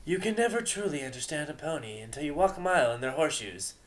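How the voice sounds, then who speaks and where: A man's voice: a little high, lively intonation, quick pace, close to an everyday voice, low-quality recording. An ancient moon goddess mare played by a man tells her tale straight to the listener. She is grand and theatrical, yet easily flustered and chatty, correcting herself as she goes in storybook fashion. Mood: exasperated. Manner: theatrical, self-correcting, addresses the listener directly. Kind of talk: dramatic storytelling reading.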